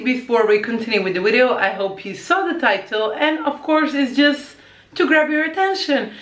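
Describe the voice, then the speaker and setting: A woman's voice: a little high, fairly bright tone, roomy sound. A Croatian woman who teaches languages, chatting casually to camera in English with a Croatian accent, with lots of fillers and restarts and the odd French or Croatian word.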